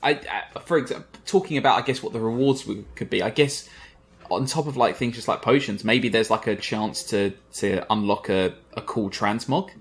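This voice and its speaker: A man's voice: lowish voice, normal speed. A man hosting a podcast in a casual chatty style, thinking aloud and putting questions to his co-hosts. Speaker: podcast host. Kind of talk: casual chat.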